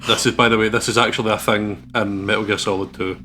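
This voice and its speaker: A man's voice: lowish voice, steady tone, quick pace, bright, forceful tone, a little hiss or hum. A man narrating a visual novel aloud as a let's player, with playful, casual and sweary commentary.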